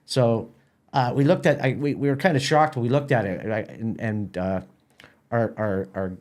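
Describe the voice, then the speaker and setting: Man, halting conversational talker. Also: medium pitch, a little mellow. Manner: halting, repeats words. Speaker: guest, theatre festival organizer. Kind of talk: casual podcast interview.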